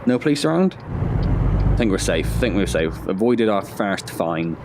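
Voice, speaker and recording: A man's voice: low-pitched, sing-song, unhurried, heavy background noise. A man with a slight head cold casually thinking aloud as he plays a driving game, hosting his own gaming channel. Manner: chatty, thinking aloud, self-deprecating asides. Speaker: gaming video host. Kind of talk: casual let's-play commentary.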